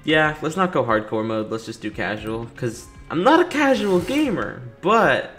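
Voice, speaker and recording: A man's voice: fairly high pitch, sing-song, moderate pace, fairly bright tone. A man giving casual, joking playthrough commentary, reacting to characters and reading captions aloud as host. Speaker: gaming video host. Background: video game music and sound.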